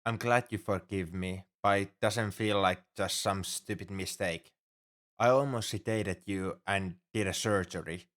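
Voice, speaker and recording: Casting: male actor for a worrying, devoted alien shipmate, fairly deep voice, earnest and halting, formal turns of phrase, intimate ASMR roleplay. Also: a bit bright. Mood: relieved, earnest. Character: anxious, caring male alien crewmate on a spaceship. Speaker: ship crewmate tending a sick human.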